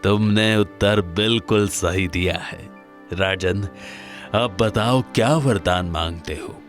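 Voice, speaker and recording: A man voicing a wise old forest sage in a cartoon moral story, speaking in grave, deliberate pronouncements. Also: lowish voice, faint music behind. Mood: approving, solemn.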